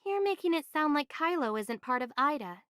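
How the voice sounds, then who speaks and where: A woman's voice: sits high, very animated, brisk, fairly bright tone, dry recording, studio quality. A woman voicing a level-headed, assertive young envoy in an anime game, speaking in an earnest, articulate way. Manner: earnest, articulate, matter-of-fact.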